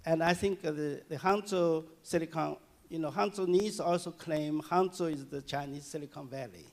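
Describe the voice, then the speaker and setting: Man, lecturer, gravelly, somewhat high voice, halting with fillers, answering questions. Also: darkish tone. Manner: halting, many fillers and restarts. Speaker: guest lecturer. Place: lecture hall.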